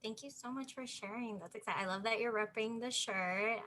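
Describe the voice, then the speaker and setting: A woman's voice: a little high, brisk, pushed and bright. A woman hosting a panel over a video call, upbeat, enthusiastic and chatty. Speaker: host, moderator. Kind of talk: casual panel moderation.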